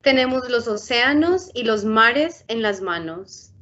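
Uma mulher de uns trinta anos, apresentadora de um programa infantil, lendo um livro ilustrado devagar e com calma numa chamada de vídeo. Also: female voice, a little high, moderate pace. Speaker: outreach storytime host. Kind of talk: bilingual children's book reading. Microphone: laptop webcam on video call. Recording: muffled video call audio.

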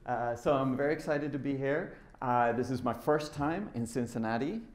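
A man's voice: mid-range voice, moderate pace, slight background noise. A middle-aged man from Sweden, speaking informally and conversationally as he presents at a tech conference. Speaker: conference speaker, software developer. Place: large lecture room with a seated audience.

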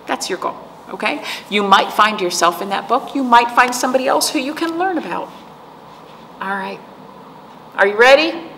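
An American woman in her forties with a creaky voice, a storytime host explaining things to young children in simple, teacherly words and questions. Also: fairly high pitch, expressive, normal speed, pauses often, bright, forceful tone. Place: indoor room, seated. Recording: echoey room with background noise.

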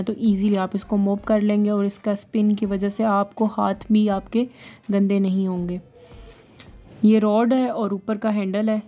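Woman vlogging at home, mellow flat voice, chatty how-to tone. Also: medium pitch. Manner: chatty, explaining step by step, "जी" fillers. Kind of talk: casual home vlog voice-over, product review.

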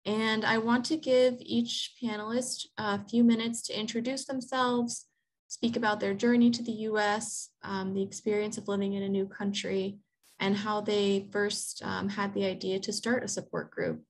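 A woman's voice: medium pitch, flat delivery, normal speed. A woman in her thirties moderating a panel, introducing the speakers in an organized way with frequent um's, over earphones on a video call. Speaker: program coordinator, moderator. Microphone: earphones with mic on a video call.

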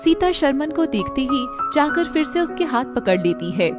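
A woman's voice: high-pitched, sing-song, normal speed, over loud music. A woman with an Indian accent narrating a scripted story.

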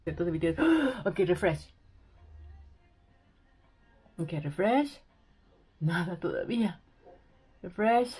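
Excited, chatty young woman vlogging. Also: fairly low pitch, slow, pauses often, husky, faint music behind. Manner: excited, chatty, thinking aloud. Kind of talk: casual vlog narration.

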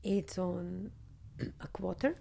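A woman in her thirties from Serbia with a Serbian accent, chatting candidly as a guest on a podcast in a small studio, speaking close into a boom microphone. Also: takes it slowly, creaky. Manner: candid, animated, laughs often. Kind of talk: casual interview chat. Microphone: studio mic on boom arm, headphones.

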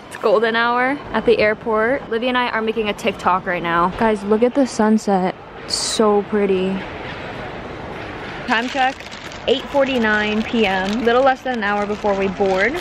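A woman's voice: a little high, moderate pace. A teenage girl narrating a casual travel vlog to her handheld phone, upbeat and chatty. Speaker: vlogger. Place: airport and plane, indoors. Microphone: handheld phone at arm's length. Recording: noisy airport background.

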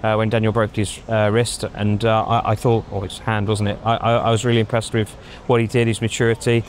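Middle-aged man giving measured analysis. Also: lowish voice, flat delivery, slight background noise. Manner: measured, thinking aloud with many ums. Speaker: pundit. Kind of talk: casual analysis chat. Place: outdoors in a racing paddock at night. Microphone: lapel. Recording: faint outdoor street ambience.

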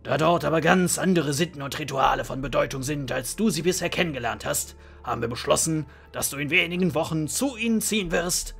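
A stern dwarf patriarch acted by a man for a fantasy audio play. The voice is fairly high for a man, gravelly and husky, laying down the law in formal words over a music bed. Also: fairly bright tone, heavily acted. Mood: formal, firm. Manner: formal, authoritative, measured. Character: stern dwarven father, formal and unyielding. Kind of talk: fantasy audio drama acting.

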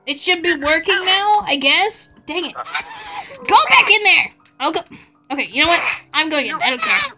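A woman's voice: very high voice, wildly swooping pitch, normal speed, music in the background. A woman improvising a game roleplay with friends in a playful, dramatic way. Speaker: player in a video game roleplay.

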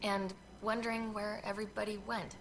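An actress voicing a young, direct space explorer in a video game, with a plain, casual manner. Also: female voice, mid-range voice, flat delivery, fairly quick, natural voice. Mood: friendly, puzzled. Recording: noisy capture of game audio.

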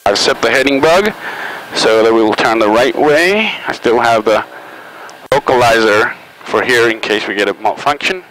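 A man's voice: medium pitch, lively intonation, low-quality recording. A middle-aged man narrating and reading back as a pilot, in terse procedural phrases, on a headset in a small plane cockpit. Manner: terse, procedural callouts with pauses. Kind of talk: cockpit commentary and radio readbacks. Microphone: aviation headset. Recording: loud aircraft cabin noise, headset intercom.

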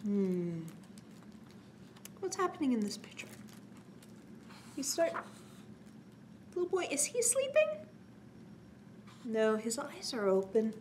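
A woman's voice: a little high, very animated, slow, long pauses, a little mellow. A woman in her forties hosting a children's story time, talking to young listeners with exaggerated, prompting questions. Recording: clear background noise.

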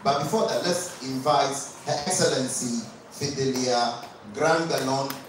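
A man's voice: mid-range voice, unhurried. A young man and student journalist, chatty and joking with many fillers, introducing speakers with a handheld mic at a seminar room lectern. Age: young adult. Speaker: event emcee, student journalist. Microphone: handheld microphone. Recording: echoey room, far from camera, noisy.

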